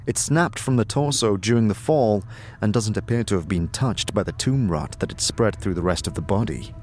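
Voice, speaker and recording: A man reading scripted fantasy narration as a storyteller, vividly addressing the listener. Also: lowish voice. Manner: vivid second-person storytelling.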